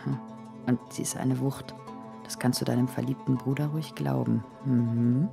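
Music plays clearly behind a creaky female voice as a young secretary. She speaks close and breathy, half whispering, as in an intimate radio play monologue. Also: extremely low pitch, steady tone, moderate pace, heavily acted. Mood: fond, reading aloud. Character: young woman secretary, startled, inwardly narrating her discoveries.

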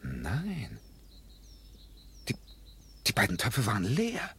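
An actor playing an anxious, distraught accused widower in a German radio crime drama, halting and stammering as he protests. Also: lowish voice, huge pitch swings, a bit bright, full character voice. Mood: anxious, troubled. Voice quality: husky, breathy. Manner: halting, stammering, emotionally agitated.

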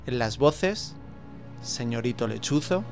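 A man's voice: mid-range voice, expressive, takes it slowly, creaky. A man reading a scripted credits announcement as an announcer, with music behind him. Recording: voice over prominent music.

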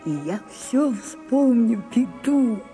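An imperious, slow-speaking grand actress. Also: female voice, fairly high pitch, expressive, mellow, faint music behind. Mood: coaxing, insistent. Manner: grand, theatrical, coaxing delivery. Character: aging grand actress, imperious, theatrical, coaxing her secretary. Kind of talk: radio drama acting.

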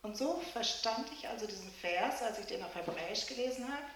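A woman's voice: fairly high pitch, lively intonation, a little fast, a bit bright, a little hiss or hum. A woman interpreting a sermon into German phrase by phrase, sometimes explaining the literal wording. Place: church. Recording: very echoey church hall.

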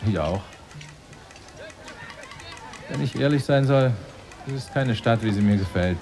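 An actor in a German radio drama playing a polite, insinuating stranger who speaks formally and deliberately. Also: male voice, mid-range voice, a little mellow, soft background music, a faint crowd, natural voice.

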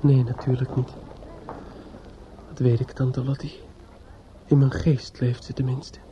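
An actor playing a grief-stricken, distraught young man in a Dutch radio drama, speaking haltingly with stammers and repetitions. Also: medium pitch, level tone, dark tone, lightly acted. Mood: grieving, bewildered. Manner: halting, stammering, repeating himself. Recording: dull, slightly noisy old radio recording.

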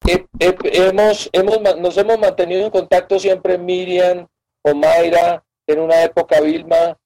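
A man's voice: high-pitched, little pitch movement, unhurried, mellow, low-quality recording. A man in his fifties speaks as a radio guest, reflecting thoughtfully and searching for his words.

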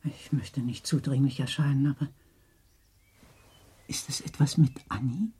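A genteel society lady in a radio play. Her voice is very husky and dark, and she speaks with formal, solicitous politeness that has an inquisitive edge. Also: a bass voice, a little slow, full character voice. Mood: polite, probing. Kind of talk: radio drama acting.

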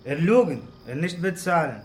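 High-pitched greedy little gunman, Arabic accent. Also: big pitch swings, moderate pace, a little hiss or hum. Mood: indignant. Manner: broken, clipped German mixed with English. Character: small greedy bribable armed Iraqi man, blunt and menacing. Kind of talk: radio drama acting.

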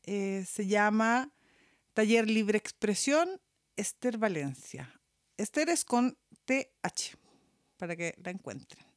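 Slow-paced woman, halting delivery. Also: mid-range voice, expressive, no echo, clean studio sound. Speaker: podcast host. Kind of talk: informal spoken announcement.

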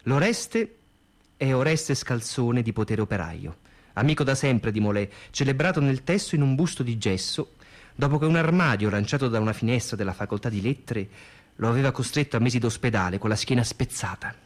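A man reading scripted radio theatre narration in an expressive, deliberate delivery. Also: medium pitch. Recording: music under the voice. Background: music.

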